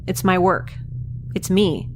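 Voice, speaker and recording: A woman's voice: on the low side, fairly even intonation, a little hiss or hum. A woman playing a sardonic, defiant researcher. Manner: sardonic, quick-witted. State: nauseated, concussed. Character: defiant young woman researcher, sharp-witted. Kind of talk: audio drama acting.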